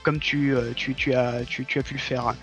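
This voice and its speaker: Guy explaining stuff earnestly on a stream, bright forward voice, a bit lo-fi, music under it. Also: mid-range voice, level tone, moderate pace. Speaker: interview guest, audio fiction author. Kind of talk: casual conversation on a livestream. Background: background music.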